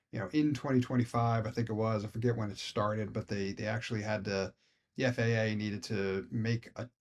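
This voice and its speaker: Low-voiced American man, hedging and conversational. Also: creaky. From Philadelphia, United States. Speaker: podcast co-host. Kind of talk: casual discussion podcast.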